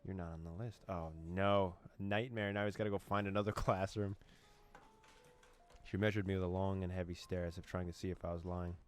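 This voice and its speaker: A man's voice: low-pitched, flat delivery, a little fast. A man reading a horror visual novel aloud, translating its lines with dramatic narration and amused asides. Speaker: gaming YouTuber.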